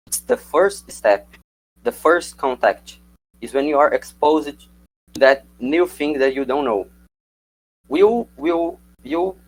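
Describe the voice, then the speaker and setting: A man's voice: medium pitch, low-quality recording. A young man whose first language is Portuguese, reading a prepared slide presentation and asking for the next slide. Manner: reads prepared script, calls for slides. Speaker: student presenter.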